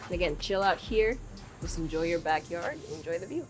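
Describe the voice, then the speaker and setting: A woman's voice: lowish voice, lively intonation, brisk, music in the background. A young woman hosting a walking house tour, chatty and upbeat, recorded with a clip-on mic inside a large house. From Philippines. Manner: upbeat, chatty, laughs easily. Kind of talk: walking real-estate tour narration. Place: large house interior, rooms and balconies.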